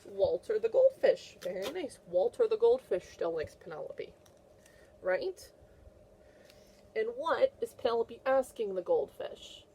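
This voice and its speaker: A woman's voice: a little high, sing-song, a little slow, dark tone, a little hiss or hum, rough recording. A woman teacher reading a picture book aloud to young children, repeating phrases and checking in with simple questions.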